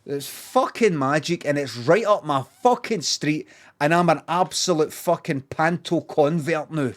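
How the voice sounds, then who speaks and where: Higher-voiced Glaswegian man chatting, sweary. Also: unhurried. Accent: Glaswegian Scottish. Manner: sweary, emphatic, repeats himself. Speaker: livestreamer. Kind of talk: casual, rambling livestream chat.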